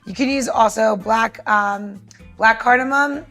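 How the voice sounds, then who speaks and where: Woman, higher-pitched, gravelly fry, informal instructor, casual podcast recipe walkthrough. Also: measured pace, a bit bright, soft background music. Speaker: podcast co-host and cocktail maker. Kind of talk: casual step-by-step recipe explanation.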